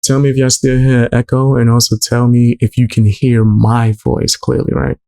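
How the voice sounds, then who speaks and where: A man with a low voice hosting a livestream, chatting in a laid-back way with viewers. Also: flat delivery, moderate pace, dark tone, clear, resonant tone. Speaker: livestream host. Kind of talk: casual livestream chat.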